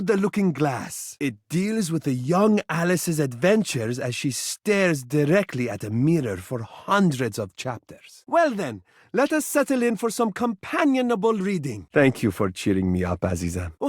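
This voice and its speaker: A living mirror from a video game, a fussy and doting male lover with a very high opinion of himself. His voice sits slightly high and moves in big, expressive swings. He speaks in flowery, melodramatic phrases, coaxing and pompous, in a dry, close recording. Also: moderate pace, few pauses, no room sound. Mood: melodramatic, languishing. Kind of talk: theatrical character dialogue for a video game.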